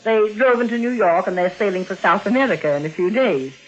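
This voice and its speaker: A woman's voice: mid-range voice, lively intonation, a little fast, natural voice. Woman playing secretly murderous aunt for vintage radio mystery. Guarded, obsessive, widely swinging intonation, muffled old recording. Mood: feigned cheerfulness. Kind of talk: 1940s radio mystery acting.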